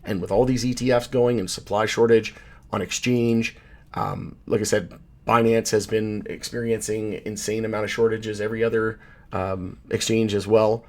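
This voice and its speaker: Hoarse man rambling through market talk. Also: lowish voice. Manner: rambling, self-correcting, loose with fillers. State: hoarse, losing his voice. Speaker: channel host, market commentator. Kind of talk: casual chart commentary to camera.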